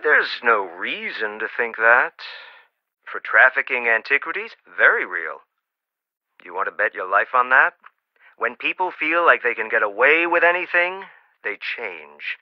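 A protective, middle-aged archaeology professor: earnest, cautioning and expressive, with slightly muffled, dry audio. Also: male voice, a little high, no echo. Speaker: archaeologist mentor. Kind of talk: video game voice acting.

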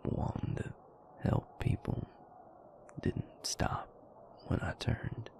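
A man playing a calm, gentle, wry vampire in an intimate audio roleplay, hushed and gravelly, measured and understated. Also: in a whisper, close to an everyday voice.

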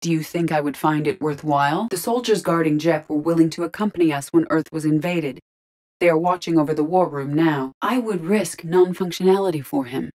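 A courteous female artificial intelligence from a sci-fi video game. The voice sits low and stays composed. Each phrase is measured and exact, with formal wording and a dry, deadpan delivery. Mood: composed, matter-of-fact. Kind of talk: scripted video game dialogue.

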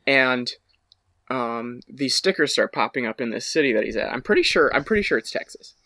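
A man's voice: medium pitch, animated, brisk, pushed and bright. A man in his twenties, a podcast co-host on a video call, telling a story casually with "like" scattered through it. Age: 20s. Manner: peppers talk with "like". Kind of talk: casual rambling storytelling with friends. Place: small room at home, indoors.

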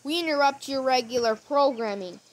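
A woman's voice: high-pitched, a little fast, over music. A woman making a formal, scripted emergency broadcast announcement. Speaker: emergency alert system announcer. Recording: very noisy played alert clip.

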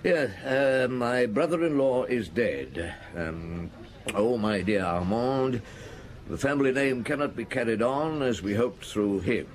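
A man's voice: medium pitch, expressive, measured pace, natural voice. Man playing a ruminative detective chief, widely varying intonation. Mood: musing. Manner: ruminative, hesitant, dryly wry. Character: shrewd, unhurried male police detective chief, gruffly pondering. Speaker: actor in a radio detective drama. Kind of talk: radio drama acting. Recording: noisy old radio drama broadcast.

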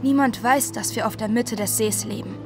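An actress playing a secretive young woman, telling her story in slow, deliberate dramatic narration over music. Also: a little high, natural voice. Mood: mysterious, hushed suspense. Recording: music behind the voice.

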